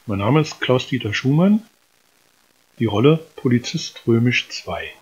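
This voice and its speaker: A man's voice: mid-range voice, lively intonation, a little slow, highs cut off, background noise. A man talking casually at a voice audition into a studio microphone. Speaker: auditioning amateur voice actor. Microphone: studio microphone with pop filter.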